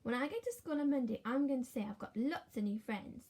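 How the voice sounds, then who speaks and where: A woman's voice: high voice, animated, a little fast, mellow, husky. A woman voicing a small curious child in a picture book read aloud, eager and full of questions.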